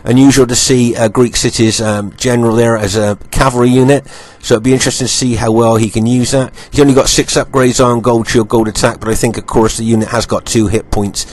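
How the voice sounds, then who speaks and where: A man with a slightly croaky voice, still getting over an illness, narrates a strategy game replay from home. He is unhurried and filler-heavy, and he often repeats points before moving on. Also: mid-range voice, steady tone. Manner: unhurried, many ums and uhs, repeats points. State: croaky voice while recovering from illness. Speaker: game commentator. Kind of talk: gameplay commentary over footage.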